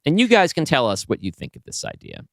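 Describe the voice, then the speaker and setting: A man's voice: mid-range voice, wildly swooping pitch, fast talker, vocal fry, no room sound, clean studio sound. A man co-hosting a podcast in casual conversation, speaking in an emphatic, enthusiastic way. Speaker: podcast co-host and writer.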